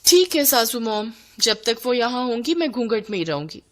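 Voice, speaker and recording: A spoiled young bride from a cartoon family tale, put on by a woman narrator. She is breezy, entitled and bossy, making demands of everyone. Her pitch jumps around in a very lively way. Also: normal speed.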